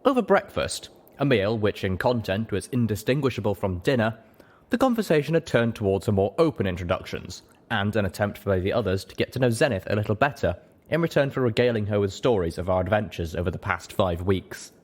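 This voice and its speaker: A narrator reading a story aloud in an expressive, scripted style. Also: mid-range voice, brisk. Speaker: audiobook narrator. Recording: roomy echo, clearly noisy background.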